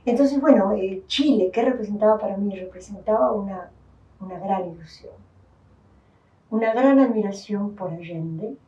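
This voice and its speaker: A woman's voice: medium pitch, moderate pace, mellow. An older woman with a Uruguayan Spanish accent, giving a reflective personal testimony as an interviewee, thoughtful and full of restarts. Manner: thoughtful, with many restarts and fillers. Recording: distant, dull, roomy sound.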